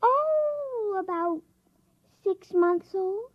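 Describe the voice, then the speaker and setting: A performer playing a precocious, calm little girl of three or four in 1950s radio drama, childlike yet matter-of-fact and knowing. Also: very high voice, extremely slow, exaggerated voice. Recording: muffled vintage radio recording.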